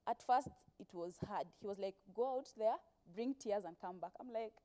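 A woman's voice: medium pitch, normal speed, warm tone. A woman in her twenties with a Kenyan accent, chatting casually as a guest in a TV interview and telling stories with quoted speech. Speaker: guest, actress. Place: TV studio, seated on a sofa. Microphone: clip-on lapel mic.

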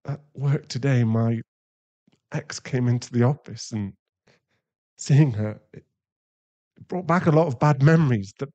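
A man playing a distraught, guilt-ridden werewolf boyfriend in an intimate ASMR roleplay, halting and pleading. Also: mid-range voice, warm tone, dull audio, close to an everyday voice. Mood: remorseful, shaken. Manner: halting, pleading, emotionally fragile.

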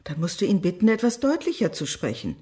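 A woman's voice: mid-range voice, sing-song, brisk, dark tone, natural voice. Woman playing a stern, scolding mother. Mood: stern, reproachful. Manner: scolding, commanding. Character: stern, impatient, reproachful mother. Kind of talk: audio drama acting.

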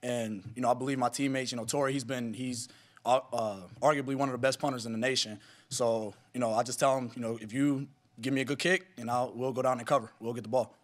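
A man's voice: medium pitch, fairly even intonation, quick pace. A young man, a college special teams player, answering press questions plainly and modestly after a game. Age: young adult. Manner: plain, modest, repeats himself. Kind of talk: postgame press conference answers. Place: press room in front of a sponsor backdrop. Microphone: podium mic.

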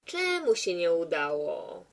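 A woman's voice: on the low side, sing-song, slow, full character voice. A woman playing a wry, skeptical narrator in a scripted comedy, questioning the hero in a dry, teasing way.

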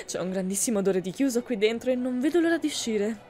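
A woman's voice: fairly high pitch, expressive, fast, a little mellow, soft background music, close to an everyday voice. A young woman playing a kind, chatty, easily flustered heroine in an anime fan audio drama. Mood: chatty, polite.

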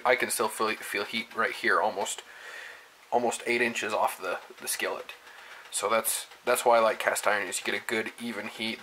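Relaxed young man demoing home cooking. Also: lowish voice. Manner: relaxed, folksy, talks to camera step by step. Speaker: home cooking show host. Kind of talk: casual cooking demonstration. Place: home kitchen at the stove. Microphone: camera mic, speaker off frame, moving around. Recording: kitchen noise, sizzling pan. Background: frying and sizzling pan.

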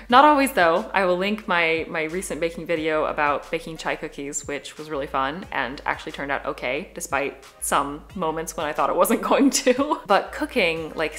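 A young woman talks to her camera from a living room sofa, chatty and upbeat. She speaks quickly, with a slightly low voice for a woman, and sounds relaxed and conversational throughout. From Midwest USA. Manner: chatty, upbeat, gestures while talking. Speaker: vlogger. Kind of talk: casual talk to camera.

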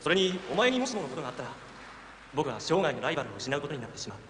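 An intense, refined young male rival from an anime. His voice is bright and slightly high, moving quickly with dramatic polish. It echoes with a reverberant tail. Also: sing-song, a little hiss or hum. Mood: concerned, teasing.

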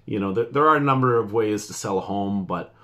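Male realtor, 40s, fairly low voice, conversational and emphatic explainer delivery. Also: fairly quick, sharp tone. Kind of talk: informal explainer talk to camera. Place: small indoor office.

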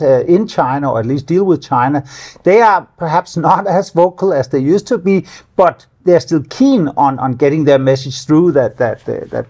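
A middle-aged Danish man with a Danish accent speaks a little higher than most men. He answers questions thoughtfully, stumbling and repeating words as he goes. The sound is close lapel mic in a studio. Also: darkish tone, husky. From Denmark. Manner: halting, repeats words while thinking. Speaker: business association director, guest. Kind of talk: measured interview answers. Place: studio with city-view windows.

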